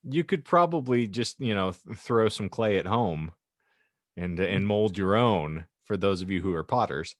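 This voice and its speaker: Wry man, low-pitched, animated podcast host. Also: unhurried, no room sound, studio quality. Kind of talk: casual podcast chat, reading hypothetical scenario aloud with asides.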